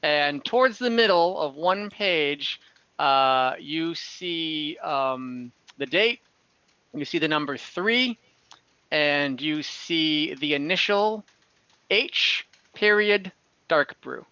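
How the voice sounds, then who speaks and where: A man narrating as game master of an online tabletop game, slow and halting, with many fillers. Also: a little high, animated, bright, forceful tone, clean tone, no echo, highs cut off. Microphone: home microphone, online call.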